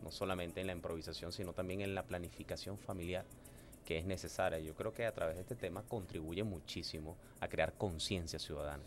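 A man's voice: deep voice, steady tone, brisk, faint music behind. A man from Guárico, Venezuela, with a llanero accent, interviewing guests in a radio studio booth.

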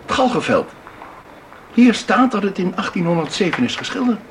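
An actor playing a fussy, excitable museum visitor who exclaims and muses aloud in a radio drama. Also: male voice, high-pitched, normal speed, a little hiss or hum. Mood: startled, insistent.

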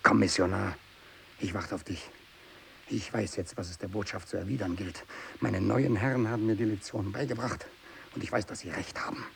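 An actor playing a tormented, bitter, fanatical renegade missionary in a radio drama monologue, brooding and intense, moving between hushed speech and anguished outbursts. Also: male voice, fairly low pitch, moderate pace, creaky. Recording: steady tape hiss.

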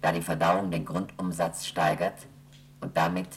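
A woman voicing a station computer that reads out emergency rules in a flat, mechanical monotone. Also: a bass voice, normal speed, a little hiss or hum. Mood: dictating. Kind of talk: 1970s radio play acting.

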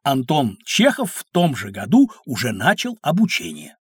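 Знающего дяди с хрипловатым голосом. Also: male voice, medium pitch, huge pitch swings, normal speed, husky, no echo, clean studio sound, exaggerated voice. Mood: explaining, reassuring. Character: kindly, knowledgeable uncle explaining history to children. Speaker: storyteller guide for children. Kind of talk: scripted educational narration.

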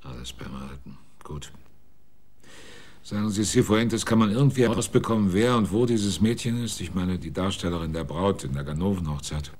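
A probing private detective in a radio play. He has a husky, lowish voice and asks short, terse questions. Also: normal speed, a little mellow. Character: probing male private investigator. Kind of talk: radio drama acting.

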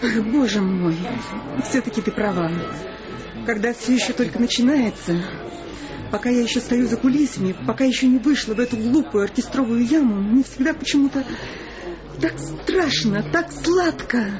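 A woman's voice: on the high side, normal speed, natural voice. Envious, jittery female musician, played by an actress, dramatic gossiping, radio play with music underneath. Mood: breathlessly anxious. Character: nervous, envious, catty woman orchestra musician. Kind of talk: Russian radio play dialogue. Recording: narrow-band, music underneath.

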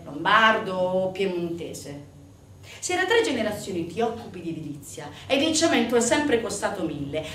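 A woman's voice: mid-range voice, close to an everyday voice. An actress playing an indignant, sardonic storyteller in a theatrical monologue, putting emphatic rhetorical questions to her audience. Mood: exasperated, sarcastic. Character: indignant, sardonic woman storyteller denouncing organized crime. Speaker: stage actress in a solo show. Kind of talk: theatrical narrative monologue on organized crime. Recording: roomy, distant stage recording, faint noise.

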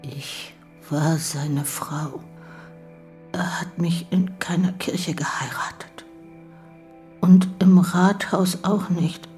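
An actress playing a grief-stricken, exhausted widow in a radio drama, sounding tearful and drained. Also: female voice, fairly low pitch, takes it slowly, darkish tone, whispered, faint music behind. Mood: grieving, stunned. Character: grief-stricken, exhausted common-law widow of a murdered man.

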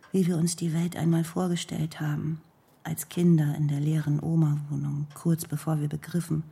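World-weary actress, very husky and breathy, creaky, low, fragmented deliberate phrasing, radio drama. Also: female voice, mellow, heavily acted. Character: melancholy, world-weary actress, wry and brooding. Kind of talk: literary radio drama monologue and dialogue.

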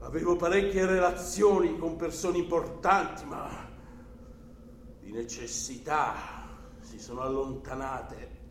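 An actor playing an older, overbearing, anxious country uncle in a theatrical, emphatic and volatile radio drama style. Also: male voice, fairly high pitch, fairly even intonation, unhurried. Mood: aggrieved, insistent. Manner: emphatic, volatile, swinging from hushed to exclaiming. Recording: roomy echo, faint background noise.

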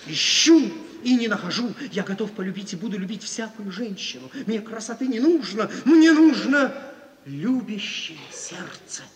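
An actor playing a self-pitying, flattering fortune-hunting gentleman, plaintive and theatrical, in a 1950s Soviet radio play. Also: high-pitched, normal speed. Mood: pleading. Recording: old recording, long hall reverb.

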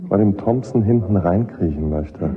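A man's voice: deep voice, moderate pace, narrow, tinny audio, a crowd in the background, close to an everyday voice. A man playing a shrewd, frank lieutenant assisting the defence in a German radio play, speaking with dry candour. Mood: dry, candid.

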